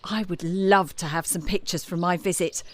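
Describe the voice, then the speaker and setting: A woman's voice: on the low side, fairly even intonation, moderate pace, dry recording, close to an everyday voice. An actress playing a bold, flirtatious, self-assured French woman cabaret singer in an audio drama. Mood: charming, persuasive. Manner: coquettish, self-assured, theatrical.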